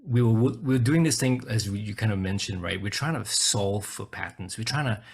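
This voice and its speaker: A man's voice: fairly low pitch, normal speed, creaky, slightly rough. A man from Singapore presenting a webinar over a video call, talking casually and hesitantly, often repeating his words.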